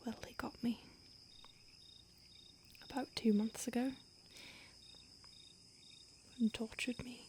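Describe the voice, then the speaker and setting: Ancient secretive vampire woman, voiced by a woman, hushed whisper throughout, higher-pitched, faint insect noise behind. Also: fairly even intonation, slow pace, pauses often. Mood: hushed urgent. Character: ancient female vampire on the run, secretive, urgent. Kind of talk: audio roleplay.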